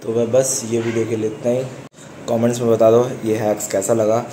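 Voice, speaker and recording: A man's voice: medium pitch, level tone, brisk. A young man explaining chest exercises in a casual gym tutorial, speaking straight to his viewers like friends. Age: young adult. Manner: casual, instructive, addresses viewers as brothers. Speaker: fitness vlogger. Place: small indoor gym. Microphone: camera on a stand a few steps away. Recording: echoey gym room with music.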